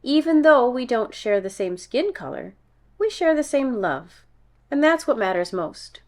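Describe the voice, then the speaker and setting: A woman voicing a gentle, reassuring mother in a children's storybook, explaining tenderly and patiently. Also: on the high side, very animated, unhurried.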